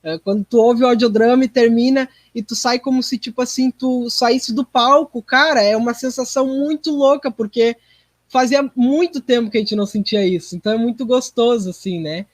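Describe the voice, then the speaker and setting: A man's voice: extremely high pitch, fairly quick, no echo. Dry-sounding man reflecting casually. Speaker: performing artist, interview guest. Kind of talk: casual reflective interview talk.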